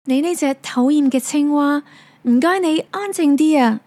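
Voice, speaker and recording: A woman with a Hong Kong Cantonese accent voicing a fretful young princess who speaks higher and more plaintively. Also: moderate pace, dark tone, lightly acted. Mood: furious.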